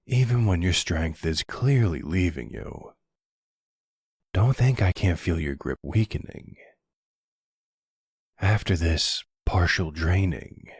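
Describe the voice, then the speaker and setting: Male actor as smug vampire seducer, ASMR roleplay, slow taunting drawl, gravelly fry, close dry sound. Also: dry recording, exaggerated voice. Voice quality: low, gravelly, husky.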